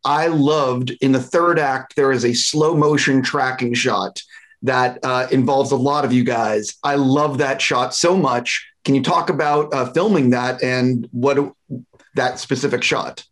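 Mid-pitched man, casual interviewer. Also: fairly even intonation, unhurried, fairly bright tone. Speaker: entertainment interviewer. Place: small room at home, indoors.

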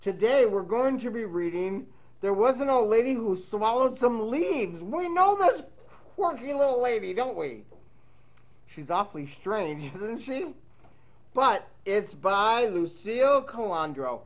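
A man reads a picture book aloud for children at an unhurried pace. His pitch moves up and down freely in a playful sing-song. Between lines he chats casually with his young listeners. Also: big pitch swings. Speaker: children's storybook reader. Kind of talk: animated read-aloud to children with casual asides.

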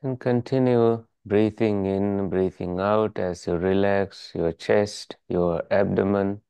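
A meditation teacher leading a guided relaxation in calm, measured, soothing instructions. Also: low voice, gravelly, clear, resonant tone, dry recording.

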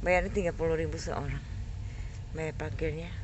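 A woman's voice: deep voice, steady tone, moderate pace. A woman casually narrating a family road trip from inside a car. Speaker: family travel vlogger in the passenger seat. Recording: noisy car interior, road and engine hum.

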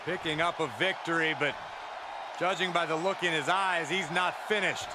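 A man's voice: fairly high pitch, steady tone, normal speed, heavy background noise, lightly acted. A man voicing an opinionated, emphatic color commentator in a wrestling video game. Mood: alarmed. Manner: emphatic, reactive. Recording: loud arena crowd behind commentary.

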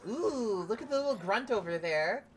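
A woman's voice: fairly high pitch, expressive, normal speed, background noise. Chatty Canadian woman gaming. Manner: chatty, laughs, swears casually. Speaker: streamer. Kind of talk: casual gameplay commentary.

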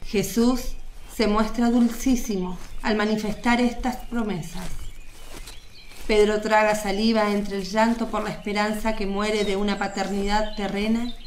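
A woman's voice: medium pitch, fairly even intonation, a little slow. A woman reading scripted narration as the narrator of a religious radio drama. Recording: roomy echo, noise, birdsong behind.

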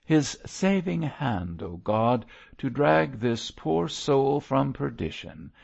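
Guy playing stern old religious zealot in an audiobook, declamatory, slow, big swoops in pitch. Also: sing-song, gravelly, darkish tone, highs cut off, heavily acted. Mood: fervent pleading. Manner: solemn, exhorting, declamatory prayer. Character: wary old male zealot missionary, stern, fervently pious. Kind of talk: audiobook character voice.

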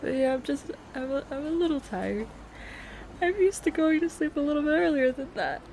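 A woman's voice: sits high, lively intonation, moderate pace, a little mellow, rough recording. A woman playing a playful, affectionate secret girlfriend in an intimate roleplay audio, hesitant and trailing off as she teases. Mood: sleepy, sheepish. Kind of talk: intimate roleplay audio, casual improvised-sounding chat. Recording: noticeable cafe ambience noise behind.

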